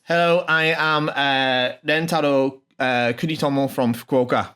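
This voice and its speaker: A man hosting his own channel, translating a video message in an informal, off-the-cuff way, with many pauses, ums and restarts. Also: medium pitch, steady tone, pushed and bright, clear, resonant tone. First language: not Japanese.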